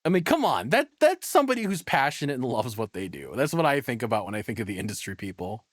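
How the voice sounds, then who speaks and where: A man's voice: medium pitch, very animated, fast, no room sound. Rambling, opinionated man in a dry close space. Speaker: streamer reacting to a video. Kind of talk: casual reaction commentary.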